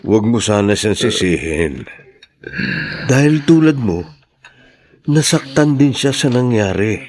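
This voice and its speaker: A level-headed Filipino family friend in middle age in a radio drama. His low voice moves with lively inflection as he consoles and advises. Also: a little slow, no echo, heavily acted. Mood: urging, consoling.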